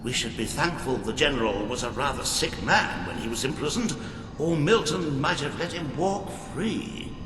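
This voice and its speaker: Man playing a prim, lively royal retainer. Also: normal speed, close to an everyday voice. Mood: appalled, prim. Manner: formal, prim, wordy. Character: fussy, deferential male royal retainer. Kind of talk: video game character acting. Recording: echoey hall, distant, noisy rumble behind.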